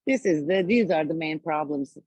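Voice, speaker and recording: A woman's voice: sits low, expressive, normal speed, a little mellow, breathy edge, no room sound. A woman from Turkey giving a conference presentation over a video call, speaking hesitantly with many fillers and restarts. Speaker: sports federation speaker.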